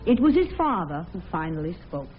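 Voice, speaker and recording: A woman's voice: on the low side, very animated, brisk. A woman giving scripted dramatic narration on a tinny vintage radio recording, with music behind her.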